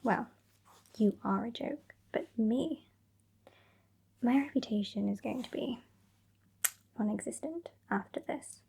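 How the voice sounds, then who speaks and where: A woman playing a haughty, impatient British female vampire in an ASMR roleplay, whispering and speaking softly in a breathy, condescending way. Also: a little high, lively intonation, heavily acted. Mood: exasperated, disdainful. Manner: slow, condescending, withering. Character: sassy, haughty, impatient female vampire scolding her weakling fledgling.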